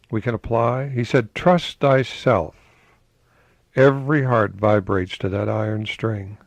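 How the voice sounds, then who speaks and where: An older man giving a reflective radio talk in a conversational, musing way, posing rhetorical questions and reading quotations slowly with emphasis. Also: lowish voice, no room sound. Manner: slow, emphatic delivery of quotations. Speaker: radio host, professor.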